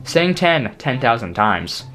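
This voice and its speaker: A teenage boy talking casually to his stream in a small bedroom, excitable and jokey, his voice strained from overuse. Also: mid-range voice, faint music behind. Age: teens. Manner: excitable, jokey, talks fast in bursts. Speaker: YouTuber. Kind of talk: casual stream chat to camera.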